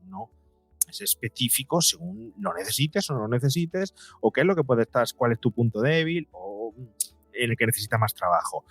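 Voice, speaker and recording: A man with a mid-pitched voice talks as an interview guest. He explains in a wordy, halting way, checking in with short tag questions. The sound is dry, with faint music underneath. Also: animated, gravelly, a bit bright, breathy edge, dry recording. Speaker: interview guest, psychologist and podcaster.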